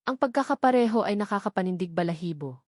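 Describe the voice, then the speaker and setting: A woman's voice: mid-range voice, expressive, quick pace, darkish tone, dry recording, clean studio sound. A woman reading a scripted, dramatic romance story as narrator.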